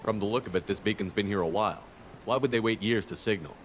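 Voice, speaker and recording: Man playing a brisk, low-voiced space commander. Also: music in the background, lightly acted. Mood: brisk, inquiring. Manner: direct, no-nonsense questioning. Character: brisk, commanding male space soldier leading an investigation. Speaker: squad commander.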